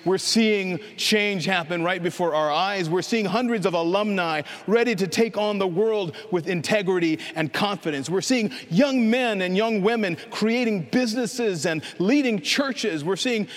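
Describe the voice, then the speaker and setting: Impassioned preacher man in a reverberant hall. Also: high voice. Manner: earnest, building to impassioned proclamation. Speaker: pastor. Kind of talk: sermon.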